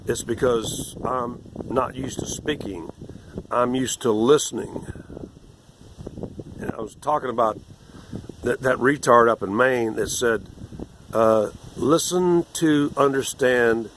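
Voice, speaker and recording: Rough-voiced man in his sixties, lecturing. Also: mid-range voice, slow pace, pauses often, fairly bright tone, slight background noise, poor audio. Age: 60s. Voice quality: rough, irregular voice. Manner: condescending, lecturing, meandering with restarts. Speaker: vlogger. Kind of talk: rambling monologue to camera.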